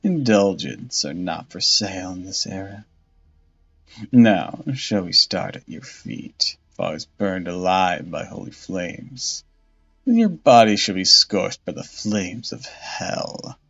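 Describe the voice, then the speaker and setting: A man voicing a vengeful undead female saint who taunts her killer slowly and coldly. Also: deep voice, animated, creaky, a bit bright, husky, full character voice. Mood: cruel. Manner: slow, cold, mocking, theatrical. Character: vengeful undead woman saint, cold and mocking. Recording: dull lo-fi audio with faint music.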